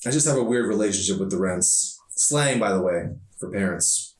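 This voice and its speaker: A man's voice: on the low side, lively intonation, normal speed, natural voice. A man voicing a chatty, opinionated, frustrated teenage girl in slangy, emphatic storybook dialogue. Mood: exasperated. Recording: roomy echo, distant microphone.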